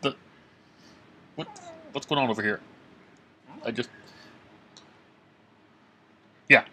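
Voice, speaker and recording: A man playing a nervous, excitable, down-on-his-luck puppet in an improvised comedy puppet show, with a rambling, stammering, raspy delivery. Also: mid-range voice, measured pace, slight background noise. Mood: uneasy, pleading.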